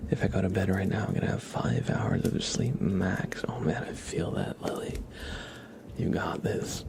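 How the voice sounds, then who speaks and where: A man whispering gently as he hosts an ASMR live stream, chatting to viewers in a breathy voice and often repeating short phrases. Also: sits low, moderate pace, slight background noise. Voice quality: breathy whisper with creaky edges.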